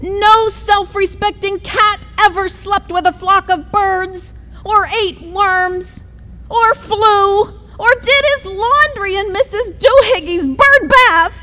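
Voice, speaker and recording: A woman voicing a bossy mother cat who scolds her kitten in a high, strained voice. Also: slow, bright, forceful tone, long reverb tail, full character voice. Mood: scolding. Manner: shrill scolding.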